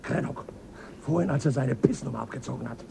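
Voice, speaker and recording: An actor playing Burr, a crude and paranoid male crewman on a spaceship, in a German radio play, with a gravelly, sneering voice and a mocking, suspicious way of talking. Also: mid-range voice, fast, very noisy. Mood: paranoid, defiant.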